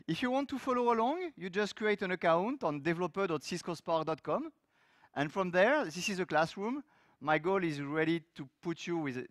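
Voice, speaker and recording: A middle-aged man from France with a French accent, giving a technical talk on a conference stage with loose, lively phrasing and many fillers. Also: on the high side, no room sound, clean studio sound. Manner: loose, lively delivery with frequent fillers and restarts. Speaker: conference speaker. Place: large conference hall stage.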